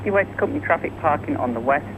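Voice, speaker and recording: A man working as an air traffic controller, giving clipped clearances over aviation radio. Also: a little high, expressive, racing, muffled recording. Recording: noisy airband radio transmission.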